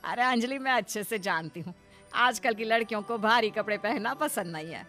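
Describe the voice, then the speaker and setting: Cartoon mother-in-law, female actor: middle-aged, high voice, coaxing, possessive, doting yet insistent. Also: animated, a little fast, bright, forceful tone, faint music behind. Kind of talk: Hindi moral-story cartoon dub.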